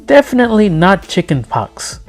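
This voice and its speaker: A self-assured, clinical storybook medical specialist, put on by a male narrator. The voice is pushed high, with clipped pronouncements over music. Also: very animated, normal speed. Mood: matter-of-fact. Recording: music behind the voice.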